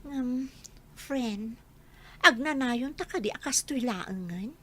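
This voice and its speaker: A woman playing a chatty, teasing friend and confidante in a radio drama, expressive and speaking with a Filipino accent. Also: on the high side, slow, full character voice. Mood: curious, teasing.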